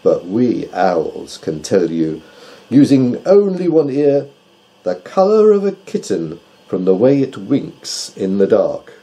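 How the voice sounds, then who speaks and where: A man's voice: on the low side, sing-song, slow, dark tone, heavily acted, low-quality recording. A man voicing an exacting, haughty owl in a children's story, speaking precisely and emphatically. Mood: insistent, boastful. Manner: emphatic, precise, self-assured. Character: male owl with keen hearing, exacting and haughty.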